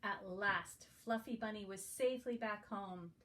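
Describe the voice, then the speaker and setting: A woman's voice: mid-range voice, measured pace, a bit bright. A woman reading a picture book aloud to young children as a guest reader. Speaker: guest story reader for preschoolers.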